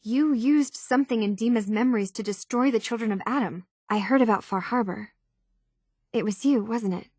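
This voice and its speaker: A woman's voice: a little high, normal speed, a little mellow, no echo, clean studio sound, natural voice. An actress playing an earnest, troubled young woman unsure of who she is, speaking in a hesitant, sincere way for video game dialogue. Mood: probing, concerned.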